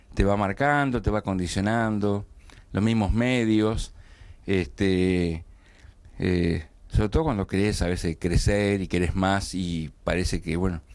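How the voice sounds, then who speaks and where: A man's voice: low-pitched, a little mellow, no echo. A man interviewing a guest in a small studio, hesitant and full of fillers and restarts. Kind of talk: conversational TV interview.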